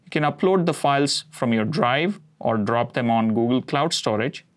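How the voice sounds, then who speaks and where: A man in his forties whose first language is Konkani, presenting a cloud product demo in a steady, explanatory way with occasional fillers. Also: mid-range voice, moderate pace. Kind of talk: scripted tech demo narration. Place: studio set with map backdrop.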